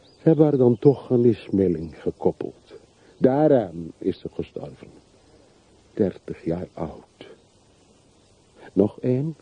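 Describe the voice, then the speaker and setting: A man's voice: lowish voice, lively intonation, takes it slowly, long pauses, breathy edge, no echo, heavily acted. An actor in a radio drama playing a brooding, bitter man from a rich family who mourns his dead in melancholy monologue. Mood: sorrowful, musing. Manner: melancholy, reflective, literary monologue. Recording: muffled, narrow-band old radio play recording.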